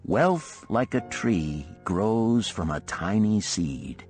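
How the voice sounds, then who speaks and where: A man's voice: on the low side, lively intonation, slow pace, darkish tone, soft background music. Man acting a stern, emphatic old moneylender. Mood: solemn, instructive. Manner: emphatic, lecturing, rhetorical. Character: stern old male moneylender mentor, blunt and scolding. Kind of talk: audiobook parable character dialogue.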